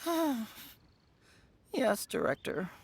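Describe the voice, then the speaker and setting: A woman's voice: high voice, animated, unhurried, slight background noise. A woman playing a meek, weary funeral parlor assistant in a video game, hesitant and resigned. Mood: doubtful, resigned.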